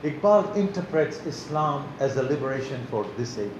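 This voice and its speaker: A man's voice: medium pitch, animated, moderate pace. Older male lecturer, chatty and unscripted, roomy hall sound with noise. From Tanzania. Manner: conversational, repeats and restarts words. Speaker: guest lecturer. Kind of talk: academic lecture.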